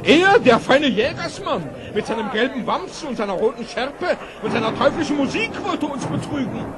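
An actor playing a stingy, indignant, blustering mayor in a fairy-tale radio play. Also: male voice, very high voice, sing-song, normal speed. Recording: noisy old recording amid crowd.

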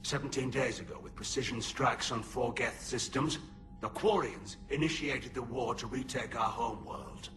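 An actor voicing a male alien admiral who speaks in an urgent, forceful way. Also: mid-range voice, animated, normal speed, soft background music, lightly acted.

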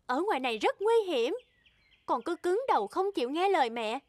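A woman voicing a worried, stern mother donkey in an animated fairy tale, scolding and insistent. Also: high-pitched, fairly quick, no room sound.

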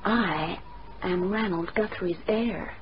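An actress playing a bold young heiress in a radio mystery, insistent and intense. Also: female voice, lowish voice, takes it slowly. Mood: confessing. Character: bold, defiant young heiress caught under suspicion. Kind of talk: dramatic radio acting. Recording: dull, faintly noisy radio recording.

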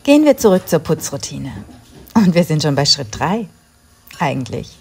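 A woman in her thirties calmly narrating a home cleaning routine in a voice-over, giving step-by-step tips straight to the viewer. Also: sits low, moderate pace, vocal fry, faint music behind. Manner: calm, instructive, talks directly to the viewer. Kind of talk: calm scripted voice-over narration.

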